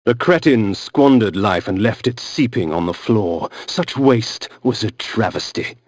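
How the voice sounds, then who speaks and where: An actor voicing a cynical, aristocratic vampire antihero, narrating a dark video game with eloquent, theatrical disdain. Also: male voice, fairly low pitch, expressive, a little slow, no room sound, soft background music. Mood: cynical, brooding. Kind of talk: dramatic scripted game narration.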